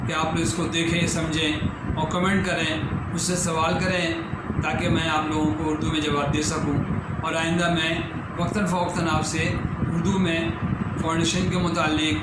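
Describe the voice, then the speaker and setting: Casting: man in his 60s, Pakistani accent, native Urdu, halting teacher addressing viewers, flat intonation, heavily reverberant room. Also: a little high. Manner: halting, repeats and restates phrases. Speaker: banking teacher and channel host. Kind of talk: informal online lecture, addressing viewers. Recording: very echoey room, loud background noise.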